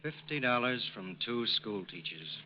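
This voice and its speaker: An actor playing a matter-of-fact federal agent in 1940s radio drama style. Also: male voice, mid-range voice, lively intonation, unhurried, close to an everyday voice. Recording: dull, noisy old radio recording.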